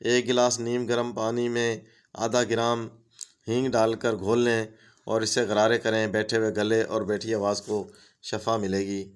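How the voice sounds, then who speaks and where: A man's voice: on the low side, completely flat delivery, a little slow, clean tone. A man, steadily reading out a list of home remedy tips as a channel host.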